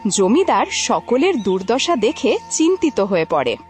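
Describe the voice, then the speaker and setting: Female story narrator, animated intonation, scripted fairy tale with clear music bed. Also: a little high, unhurried.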